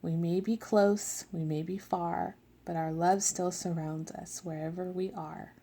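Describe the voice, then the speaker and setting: A woman reading the lines of a large, loving parent figure in a picture book, slowly and gently. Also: on the low side, steady tone, a little hiss or hum. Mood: reassuring. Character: large loving parent creature, patient and reassuring.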